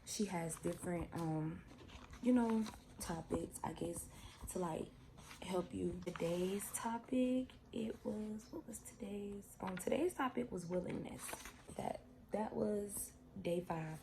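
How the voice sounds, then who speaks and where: A woman's voice: medium pitch, slow pace, vocal fry, noisy background. A woman, chatting casually and heartfelt in a vlog, rambling and repeating herself. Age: 20s. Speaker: vlogger.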